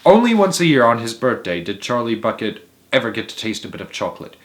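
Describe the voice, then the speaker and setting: A man narrating a children's storybook aloud in a theatrical, emphatic way, with dramatic pauses and stretched words. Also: medium pitch, very animated. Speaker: host of a read-aloud story series.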